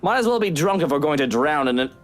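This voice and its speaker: An actor playing a very drunk, maudlin husband in a visual novel, slurring and rambling melodramatically. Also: male voice, fairly high pitch, sing-song, fast talker. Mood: bitter, giggly. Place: studio game voice track with music behind.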